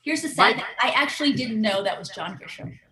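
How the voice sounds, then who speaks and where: A woman's voice: fairly high pitch, animated, quick pace, a crowd in the background. A woman contestant on a game show, talking in casual banter.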